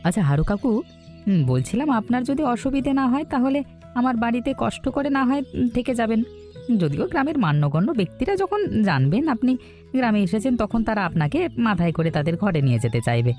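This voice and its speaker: A woman's voice: medium pitch, very animated, fast, warm tone, soft background music, lightly acted. A woman playing a poor, humble young orphan lad in a cartoon folktale, earnest and deferential.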